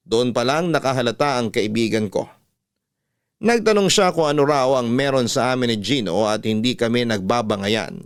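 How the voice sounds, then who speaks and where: A man's voice: medium pitch, no echo. Casting: male Filipino radio storyteller, medium register, reading letters as host, dry and close. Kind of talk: scripted narration, classic radio storytelling.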